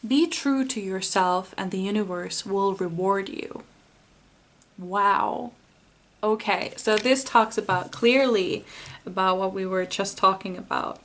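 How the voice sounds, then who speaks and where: A woman from Finland with a Finnish accent, doing a pick-a-card tarot reading in English, her second language, in a soft, earnest way, often stopping to rephrase. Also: mid-range voice. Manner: slow, searching, rephrases often.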